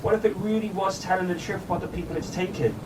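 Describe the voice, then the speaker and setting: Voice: mid-range voice, fast, close to an everyday voice. An actor playing a shaken but curious adventuring companion in a fan audio drama, hesitant and questioning. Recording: distant mic, stormy background noise.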